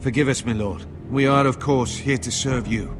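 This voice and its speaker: A man's voice: mid-range voice, normal speed, music in the background, close to an everyday voice. A man playing a pragmatic, cautious counsellor loyal to his lord in a video game drama, firm and formal. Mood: measured, loyal.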